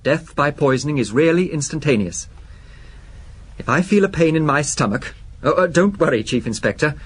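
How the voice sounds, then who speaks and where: An actor playing a nervous, obsessive husband in a radio drama, speaking hesitantly and apologetically yet with stubborn insistence. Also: male voice, a little high, expressive, normal speed, breathy edge. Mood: obsessive, resolute. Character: nervous, obsessive shop-employee husband fearing poisoning.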